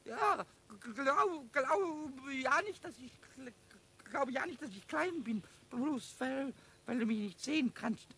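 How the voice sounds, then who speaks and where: A man voicing a cheeky, boastful little kobold in a children's radio play, squeaky and stammering over repeated words. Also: animated, takes it slowly, pushed and bright, full character voice.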